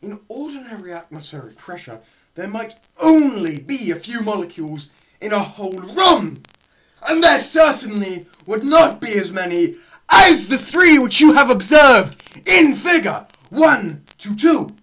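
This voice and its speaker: A young man performing a theatrical scripted lecture, melodramatic, swinging between hushed murmurs and loud cries. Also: sits high, very animated, unhurried, poor audio. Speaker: presenter performing a dramatised physics lecture. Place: small plain room indoors.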